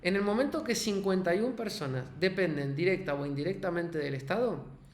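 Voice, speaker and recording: A man's voice: high-pitched, a little fast, reverberant. A man from Argentina with an Argentine accent, thinking aloud as host, hesitant and restarting as he reasons. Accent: Argentine Spanish.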